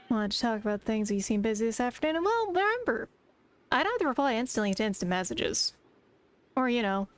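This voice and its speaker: A woman streamer chatting casually to her viewers in a rambling, talkative way. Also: medium pitch. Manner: rambling, chatty, talks to chat. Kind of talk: casual live-stream chat.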